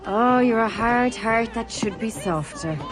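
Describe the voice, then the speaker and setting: A woman's voice: fairly high pitch, measured pace, over loud music, natural voice. Woman playing a bold, teasing tavern flirt. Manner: teasing, colloquial. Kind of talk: video game cutscene acting.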